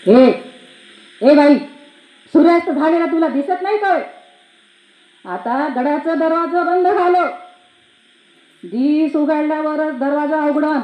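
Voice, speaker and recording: A woman's voice: sits high, takes it slowly, pauses often, dark tone. A woman voicing a stern, unyielding male gatekeeper in a stage play, declamatory and scolding, with a Maharashtrian accent. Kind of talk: theatrical one-act play. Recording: echoey room.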